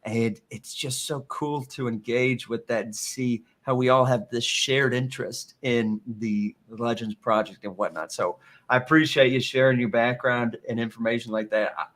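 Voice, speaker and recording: A man's voice: mid-range voice, fairly even intonation, moderate pace, a bit bright. A man hosting a casual online talk, upbeat and rambling, with frequent restarts. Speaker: host.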